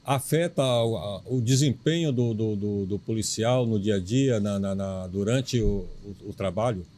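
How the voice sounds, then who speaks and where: A male journalist with a Cearense accent asks halting questions in a low, mellow voice. He stumbles over his words. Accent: Cearense Portuguese. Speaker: journalist interviewer.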